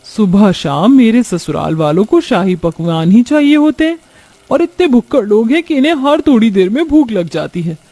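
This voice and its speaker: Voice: medium pitch, big pitch swings, normal speed, mellow. A man voicing an overworked young daughter-in-law in a cartoon story, resentful yet dutiful, complaining to herself and polite to her elders. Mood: exasperated.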